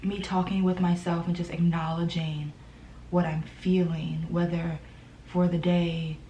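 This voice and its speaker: A young woman talks in a low, mellow voice, soft and hesitant, often sinking to a whisper. She sounds grieving and close to tears, with pauses and fillers running through her speech. Faint noise hums in the background. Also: rough recording. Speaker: vlogger. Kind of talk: emotional personal vlog.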